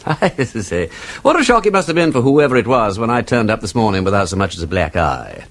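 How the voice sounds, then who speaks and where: An actor playing a witty, talkative aristocratic sleuth in a radio drama, with an upper-class English accent and a playfully pedantic, theatrical manner. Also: male voice, on the low side, sing-song, fairly quick, a bit bright, exaggerated voice. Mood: excited speculation. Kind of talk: British radio drama acting.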